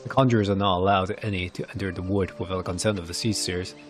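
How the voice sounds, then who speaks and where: A man voicing a weary, solemn old village elder who is gentle with children, speaking in grave, archaic phrasing as in fantasy game cutscenes. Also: low voice, fairly even intonation, quick pace, over music, lightly acted. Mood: gently firm. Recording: clear background noise.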